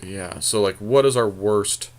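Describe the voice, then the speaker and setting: A man with a fairly low voice talks very slowly and casually. He thinks out loud in short, colloquial bits, like a podcast co-host working through choices in a card game. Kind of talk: casual chat while drafting a card game.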